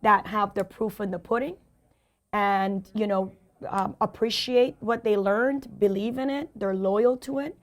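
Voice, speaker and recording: A woman with a hoarse voice, a sales and leadership coach answering interview questions in an emphatic, motivational way, often repeating key phrases. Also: medium pitch, unhurried.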